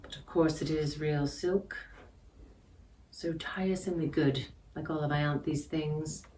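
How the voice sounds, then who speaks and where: A woman's voice: low-pitched, fairly even intonation, measured pace, mellow. A woman playing a wry, envious single dinner guest, dry and arch, in a dramatized novel reading. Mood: envious musing. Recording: distant mic with clear background noise.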